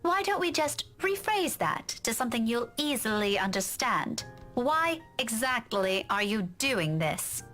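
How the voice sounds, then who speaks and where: A high-voiced, irritated young schoolteacher. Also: female voice, very animated, a little slow, a bit bright. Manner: clipped politeness, sarcastic. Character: irritated, sharp-tongued young woman schoolteacher. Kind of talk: visual novel voice acting. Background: game music.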